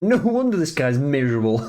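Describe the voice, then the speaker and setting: A man's voice: on the high side, big pitch swings, normal speed, dark tone. A man streaming a reaction to a fan-dubbed game movie, chatty and wry with laughing asides. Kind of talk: casual reaction commentary.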